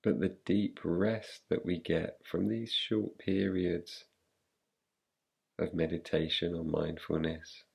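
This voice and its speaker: A man guiding a relaxation meditation in a calm, unhurried, soothing way, leaving long pauses between phrases. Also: deep voice, vocal fry, husky. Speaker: meditation guide. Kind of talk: guided relaxation, yoga nidra meditation.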